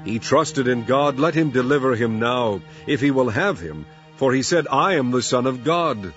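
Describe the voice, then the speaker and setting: A man's voice: mid-range voice, expressive, moderate pace, fairly bright tone, pitched above the performer's own voice, close to an everyday voice. A man voicing a mocking, demanding biblical crowd in a declamatory, emphatic scripture reading. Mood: mocking, taunting. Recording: muffled lo-fi with music behind.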